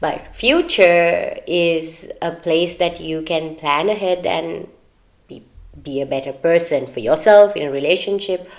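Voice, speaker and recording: A woman's voice: on the low side, measured pace. A woman co-hosting a podcast, chatting candidly and earnestly about personal matters, in a talkative, advice-giving way. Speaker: podcast co-host.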